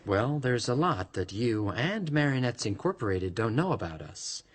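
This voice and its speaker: A resentful male android double in a radio play. The voice is husky and mid-pitched, swinging widely in intonation as it presses its grievances with brooding, deliberate menace. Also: very animated. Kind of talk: radio drama acting.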